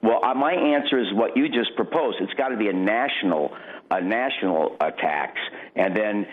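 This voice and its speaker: Male candidate as radio guest, lowish voice, blunt and opinionated, heard over narrow phone-quality audio. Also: expressive, normal speed. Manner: opinionated, blunt, repeats phrases for emphasis. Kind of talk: off-the-cuff political interview. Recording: tinny, narrow phone-like line.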